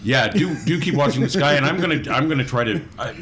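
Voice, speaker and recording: A man's voice: mid-range voice, lively intonation, quick pace, a crowd in the background. A man playing a tabletop role-playing game, chatting casually and jokingly as he improvises and often repeats himself. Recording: background noise with room ambience.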